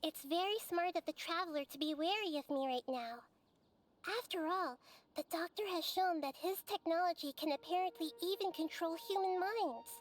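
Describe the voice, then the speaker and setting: A calm, wise childlike goddess. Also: female voice, very high voice, normal speed. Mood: thoughtful. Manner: calm, thoughtful, fond of obscure analogies. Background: faint game music.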